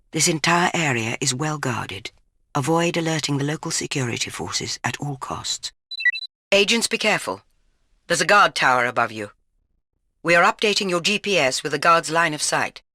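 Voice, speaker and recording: A woman's voice: sits low, bright, forceful tone, no echo, studio quality, exaggerated voice. A woman playing a stern British spy chief, giving clipped, formal orders as video game mission briefings.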